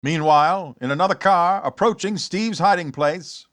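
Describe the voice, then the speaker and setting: A man's voice: high-pitched, normal speed, a bit bright. A man acting as an urgent, dramatic narrator of a scripted radio serial. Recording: light background noise.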